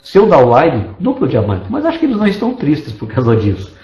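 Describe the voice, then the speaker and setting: A man's voice: fairly high pitch, wildly swooping pitch, brisk, darkish tone, roomy sound, lo-fi sound. A man from Brazil giving an online business presentation as a network marketing presenter, persuasive and enthusiastic, stacking lists of points. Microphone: desktop computer mic.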